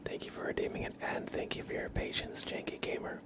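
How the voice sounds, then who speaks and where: An American man hosting an ASMR livestream, speaking in a soft, breathy whisper with an unhurried, gently reassuring manner. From East Coast of the United States, near New York. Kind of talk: whispered ASMR, casual livestream chat and card reading.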